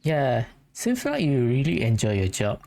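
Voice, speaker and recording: A woman's voice: very deep voice, sing-song, a little slow, creaky, dark tone. A woman giving casual, chatty and slightly hesitant playthrough commentary as a gaming streamer. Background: game music.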